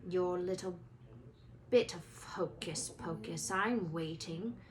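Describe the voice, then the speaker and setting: Storybook villain: woman playing icy male teacher, lowered voice, deliberate and quietly threatening, slight background noise. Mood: menacing.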